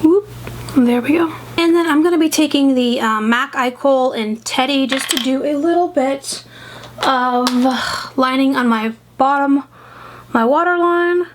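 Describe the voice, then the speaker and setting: A young woman with a high, sniffly, congested voice chats casually while she does her makeup at a table at home. She rambles and doubts herself, and there is clear noise behind her. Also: unhurried. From American South. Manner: rambling and self-doubting, full of fillers. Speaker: beauty vlogger. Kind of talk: chatty get-ready-with-me makeup tutorial. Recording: noisy home recording.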